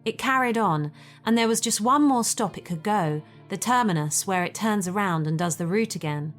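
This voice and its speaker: A woman's voice: mid-range voice, lively intonation, normal speed, soft background music. A woman with a British accent reading a scripted first-person story as a storyteller.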